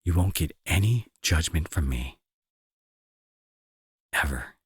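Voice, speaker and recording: A remorseful young bully turned anxious caretaker, played by a man, with a low and very husky voice. He speaks softly and hesitantly, earnest and reassuring, his pitch moving expressively. It has the close, intimate feel of a roleplay audio drama. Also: lively intonation, slow pace, no room sound, exaggerated voice. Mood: tender, reassuring.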